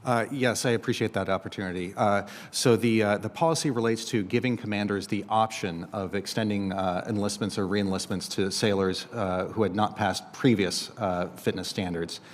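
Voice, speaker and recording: A man's voice: fairly low pitch, fairly even intonation, moderate pace, hardly any pauses. An American man, a government official giving formal testimony in a hesitant, careful manner. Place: large indoor hearing room. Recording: reverberant hearing room.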